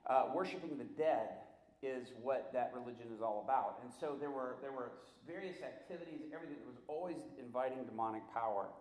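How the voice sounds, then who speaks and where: Male workshop preacher, middle-aged, medium pitch, conversational with frequent restarts, recorded with noticeable room echo. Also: darkish tone. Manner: conversational, halting, often restarts and repeats himself. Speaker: church workshop teacher. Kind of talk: informal teaching talk to a group. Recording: echoey room.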